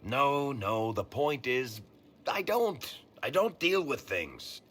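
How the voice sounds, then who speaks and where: A man's voice: medium pitch, sing-song, slow, fairly bright tone, husky, full character voice. A man playing a wry, world-weary dwarf storyteller who talks in a colloquial, teasing way in a video game. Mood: frustrated. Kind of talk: video game cutscene acting.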